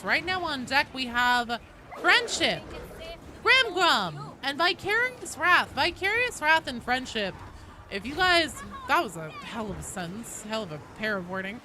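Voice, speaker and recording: Expressive, lively-pitched woman streaming over background noise. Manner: chatty, expressive, exclaiming. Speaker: live streamer, host. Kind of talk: casual livestream chat while gaming, reading and answering viewers.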